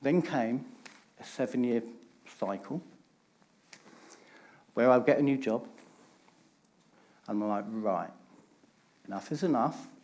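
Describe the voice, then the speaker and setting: A man's voice: fairly low pitch, gravelly, darkish tone. Guy giving a raw recovery talk, middle-aged, keeps repeating phrases for weight. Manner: candid, emphatic storytelling with repeated phrases. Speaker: conference speaker.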